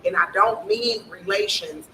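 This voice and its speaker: A woman in a casual group discussion with a deep, aggressive-sounding voice, talking bluntly and insistently with plenty of swearing. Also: unhurried, sharp tone. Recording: distant mic with clear background noise.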